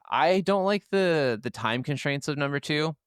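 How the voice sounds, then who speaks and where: Clear-voiced man giving emphatic gaming opinions. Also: a little high, lively intonation, unhurried, a bit bright, no echo, clean studio sound. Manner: informal, profane, emphatic, opinionated. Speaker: podcast co-host. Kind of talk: casual gaming chat.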